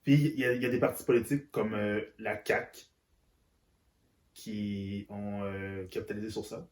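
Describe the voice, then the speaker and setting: A man's voice: lowish voice, flat delivery, moderate pace. A man, a guest talking candidly and earnestly, often stumbling and repeating words. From Central Africa. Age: 30s. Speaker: interview guest. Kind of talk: candid conversation. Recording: roomy echo.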